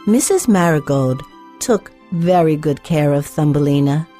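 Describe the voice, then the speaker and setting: A woman narrates a fairy tale for young children in a fairly low, mellow voice. She takes it slowly with careful, measured phrasing, over light music. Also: expressive. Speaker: storyteller. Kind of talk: scripted children's story narration.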